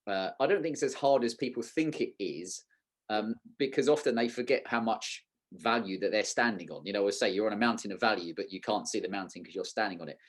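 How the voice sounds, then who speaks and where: A man's voice: on the low side, brisk. A man hosting a podcast, putting questions in an informal, conversational and slightly rambling way. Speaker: podcast host, interviewer. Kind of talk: casual conversational interviewing.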